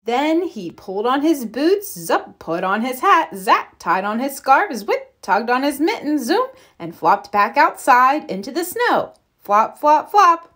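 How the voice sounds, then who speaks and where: A woman reading a children's picture book aloud expressively, deliberate and playful with the sound words. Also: sits high, sing-song, a bit bright. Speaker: read-aloud storyteller.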